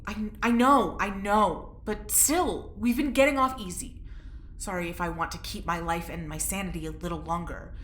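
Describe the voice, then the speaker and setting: An actress playing a wry, anxious, plain-spoken test subject, casual and skeptical, in a naturalistic audio drama. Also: female voice, fairly low pitch, normal speed, a bit bright, roomy sound, close to an everyday voice. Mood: worried, sardonic.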